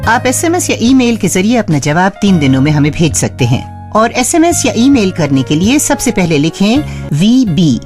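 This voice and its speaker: A woman hosts a scripted radio programme with an animated, varied delivery. Her pitch sits in the middle for a woman. She explains step by step and speaks to listeners as friends, with faint music present. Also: normal speed. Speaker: radio show host.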